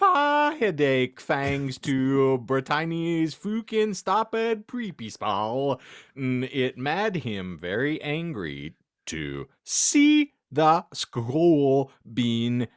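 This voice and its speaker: A man's voice: medium pitch, smooth, no room sound, clean studio sound. A man hosting a podcast, giving a comic, mock-serious dramatic reading of fan fiction. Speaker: podcast host and reader.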